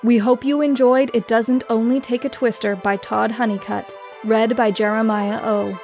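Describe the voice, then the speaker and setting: A woman's voice: a little high, fairly even intonation, normal speed, a little mellow, soft background music. A woman announcing a fiction podcast in a scripted intro and outro style.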